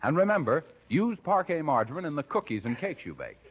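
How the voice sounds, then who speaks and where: An American man with a husky voice, announcing in the emphatic, scripted sales style of 1940s radio. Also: a little high, sing-song, brisk. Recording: dull 1940s radio recording.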